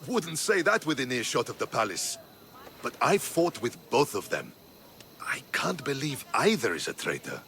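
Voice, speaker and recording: Earnest, forceful Spartan soldier. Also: male voice, mid-range voice, big pitch swings, moderate pace, creaky, soft background music, heavily acted. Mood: confiding. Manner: respectful, earnest. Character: loyal, diplomatic Spartan soldier, earnest and steady. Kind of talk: video game cutscene acting.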